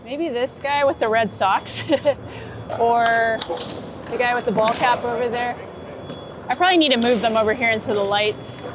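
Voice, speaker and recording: A woman's voice: fairly high pitch, normal speed. A woman chatting informally in an easygoing, giggly way, recorded outdoors as a photographer at work. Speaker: photographer being interviewed while shooting street portraits. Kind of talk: casual unscripted chat. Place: outdoors on a city street, under a bridge. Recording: outdoor city and traffic noise.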